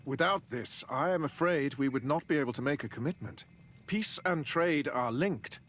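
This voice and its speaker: A man's voice: fairly high pitch, moderate pace, a bit bright, a little hiss or hum, natural voice. A man playing a polite, cautious official in a video game, speaking in a formal, tactful, polished way. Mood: cautious, grave. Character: polite, cautious male colonial official, diplomatic negotiator. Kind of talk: scripted video game cutscene dialogue.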